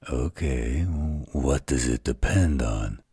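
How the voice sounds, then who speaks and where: A man acts out a gruff werewolf in an audio drama. His voice is extremely low and heavily husky, and he talks slowly and bluntly, with a protective, teasing streak toward his mate. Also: animated, warm tone, dry recording, clean studio sound, exaggerated voice. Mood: serious, questioning. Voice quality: very deep, husky, gravelly. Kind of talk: roleplay audio drama acting.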